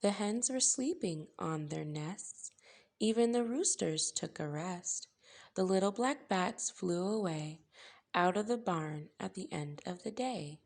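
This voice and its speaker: A woman reading a picture book aloud for young children as a storytime host, with measured, gentle phrasing and playful emphasis. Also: on the low side, lively intonation, creaky.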